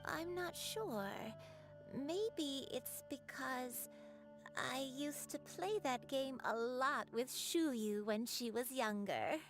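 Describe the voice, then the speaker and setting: A woman voicing a kind, forgetful grandmother in a video game, speaking slowly and musingly. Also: high voice, over music. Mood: gentle. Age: older. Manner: slow, musing and hesitant.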